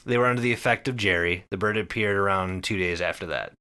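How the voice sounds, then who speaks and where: A man hosting a podcast, explaining things casually and comedically to friends in an animated, sweary, conversational way. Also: deep voice, fast, clear, resonant tone. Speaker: podcast host.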